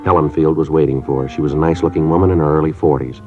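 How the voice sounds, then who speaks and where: An actor playing a terse police detective who narrates in a clipped, deadpan, matter-of-fact manner, in a 1950s radio drama. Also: male voice, extremely low pitch, very fast, soft background music. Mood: flat narration. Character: terse male police detective, middle-aged. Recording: dull lo-fi 1950s radio broadcast.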